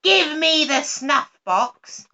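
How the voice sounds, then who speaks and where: A shrill, greedy old witch from a storybook, barking orders and stretching her words out slowly, as a woman puts her on. Her voice sits high and bright. The recording is dull and lo-fi. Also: animated, creaky, heavily acted. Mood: commanding. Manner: shrill and commanding, with drawn-out words. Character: wicked cackling old female witch, greedy and scornful. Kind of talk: storybook reading.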